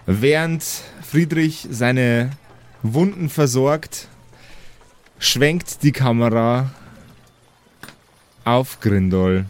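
A man's voice: medium pitch, drawn-out words, pauses often. A man improvising narration as the game master of a tabletop role-playing game.

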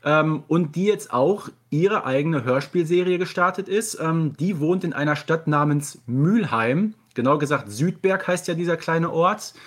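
Male podcast guest, slightly high voice, detailed explanatory delivery in casual discussion. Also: measured pace, hardly any pauses.

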